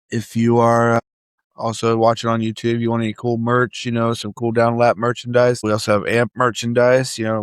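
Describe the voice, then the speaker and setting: A man's voice: fairly low pitch, clean tone, no echo. A man hosting a podcast with casual, unscripted talk. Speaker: podcast host.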